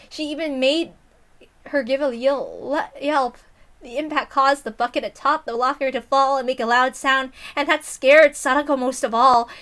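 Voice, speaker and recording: Bright, very high-voiced woman narrating. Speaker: visual novel reader. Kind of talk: dramatic scripted narration.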